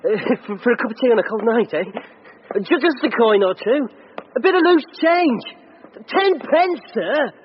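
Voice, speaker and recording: An actor playing a timid male street beggar in a radio drama, halting and pleading. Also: extremely high pitch, animated. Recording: muffled radio recording, faint noise.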